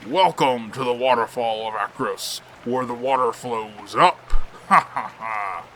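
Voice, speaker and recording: Bullying dungeon guard, male actor, mid-pitched, sharp and forceful, bellowing mockery, audio drama, light background ambience. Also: unhurried, a little hiss or hum. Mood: gloating. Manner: bellowing, mocking. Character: crooked-backed prison guard, bellowing, gloating bully.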